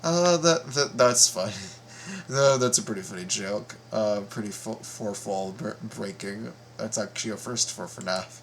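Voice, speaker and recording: A man giving casual, excitable fan commentary in a halting, repetitive way, full of exclamations. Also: on the low side, a little hiss or hum. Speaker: YouTube reactor and fan commentator.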